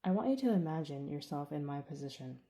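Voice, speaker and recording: A woman reads a stern older man who rules over a brutal arena, speaking in a measured, quietly commanding way. The voice sits low and dark, mellow rather than sharp. It sounds like a character read in an audiobook. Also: big pitch swings. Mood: cold, reflective. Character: stern older male alien arena master, cold and controlling.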